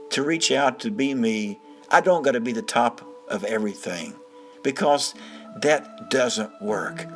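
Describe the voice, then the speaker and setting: A man with a mid-range voice speaks earnestly, like a storyteller giving an informal sermon. His wording is folksy and he pauses for emphasis. Music plays softly behind him. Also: mid-range voice. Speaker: storyteller and preacher. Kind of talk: dramatized first-person storytelling turning to informal sermon. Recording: music bed under the voice.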